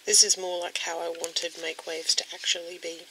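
A young woman with a croaky voice casually showing nail polish at her desk at home, rambling with lots of ums. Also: on the low side, flat delivery, moderate pace. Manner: chatty, rambling with many ums. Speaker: beauty vlogger. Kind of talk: casual product demo. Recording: home recording with clear background noise.

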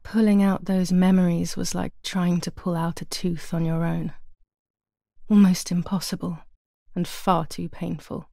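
A low, creaky young woman's voice, haunted and fearful, in a dramatic video game. The recording is dry and close. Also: flat delivery, unhurried, dark tone, dry recording. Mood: haunted, anxious. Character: traumatized, frightened young Italian woman confiding haunted memories.

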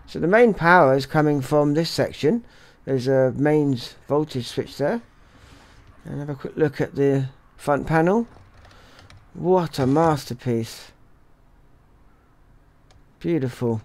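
A man's voice: a little high, takes it slowly, pauses often. A man giving casual commentary as a repair technician, musing aloud while he works at the bench. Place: indoor electronics workshop.

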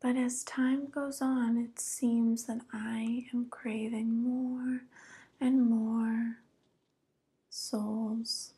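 A woman acts out an evil witch who is centuries-cold yet young-sounding, in a very slow, extremely breathy whisper. Her delivery is condescending and mock-pitying, hushed and even, close to the microphone as in an ASMR roleplay. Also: on the high side, exaggerated voice. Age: young adult. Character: evil 142-year-old witch who looks young, coolly predatory. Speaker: ASMR performer.

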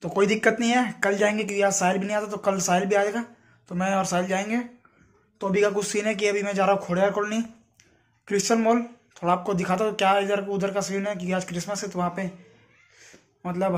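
A young adult man chatting casually and informally to his viewers, as a vlogger talking into a phone. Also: high voice. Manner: informal, addresses viewers as friends. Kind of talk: casual vlog chat to camera. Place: in and around a home, indoors and outdoors. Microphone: phone at arm's length. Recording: noisy handheld phone recording.

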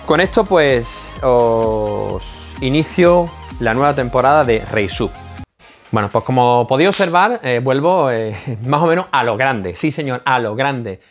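A man in his forties with a Spanish accent vlogs from a small home office. He talks animatedly to his viewers, repeats phrases and keeps checking that they follow. He speaks at a middle pitch into a lapel mic. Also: lively intonation, a little slow, faint music behind. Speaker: spearfishing channel host. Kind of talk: casual vlog talk to camera.

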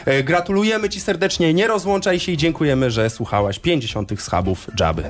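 A man hosting a radio show in casual, chatty talk, with hesitant pauses as he goes. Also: on the high side, expressive, faint music behind. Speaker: radio host.

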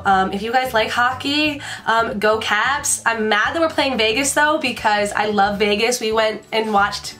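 A woman's voice: a little high, fairly bright tone. A young woman in her twenties from the Washington DC area, chatting casually to camera in her bedroom, congested from a sinus infection and rambling in a bubbly way. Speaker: beauty vlogger. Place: bedroom, indoors.

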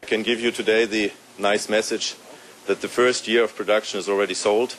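A man speaking as a company executive in a news interview, formal and slightly halting. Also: on the low side, flat delivery, a bit bright, poor audio. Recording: faint background noise.

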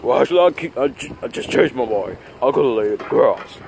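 A man improvising a plush toy skit outdoors, talking in a rambling, repetitive, halting way into a handheld phone. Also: medium pitch, expressive, creaky, darkish tone. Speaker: puppeteer of plush toys. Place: outdoors on grass at night. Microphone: handheld phone camera close by. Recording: noisy outdoor phone video recording.

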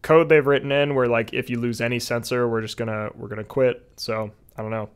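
A young man in his twenties chatting casually on a podcast video call, relaxed, with lots of fillers. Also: mid-range voice, fairly quick, clear, resonant tone. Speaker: podcast guest. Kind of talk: casual chat. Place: home room, indoors. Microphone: headset with video call.

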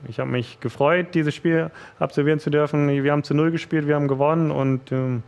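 A young man from northern Germany, a goalkeeper guest in a studio interview, answering haltingly with many fillers into a headset mic. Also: fairly high pitch, fairly even intonation, vocal fry, a little mellow.

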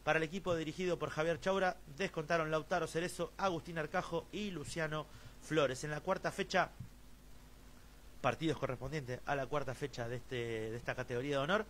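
A man's voice: a little high, fairly even intonation, a little fast, fairly bright tone, a little hiss or hum. Argentine man reading futsal results. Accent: Argentine Spanish. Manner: many fillers and restarts, reads long lists of scorers. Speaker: host of a local futsal news stream. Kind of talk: sports news roundup, reading results.

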